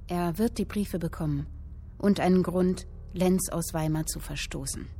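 An actress playing a captive young woman writer in a radio drama, reading her literary text aloud in a measured storytelling way. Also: on the low side, warm tone.

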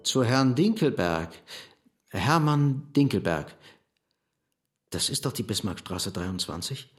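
A cautious middle-aged fugitive in a radio drama. He speaks very slowly and hesitantly, his wary voice moving widely in pitch. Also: big pitch swings, soft background music. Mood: guarded. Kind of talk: German radio drama acting.

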